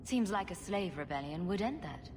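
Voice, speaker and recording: A woman's voice: fairly low pitch, expressive, normal speed, darkish tone, close to an everyday voice. Woman acting a wry rogue heroine, noisy backdrop. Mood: curious, wry. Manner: wry, inquisitive. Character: wry, sarcastic female rogue adventurer, inquisitive. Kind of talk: video game voice acting.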